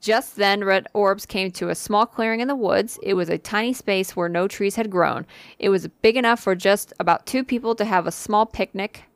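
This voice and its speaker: A woman's voice: mid-range voice, moderate pace, dry recording. A woman casually reading a spooky listener story aloud on a podcast, with fillers and asides. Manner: reads with occasional fillers and asides.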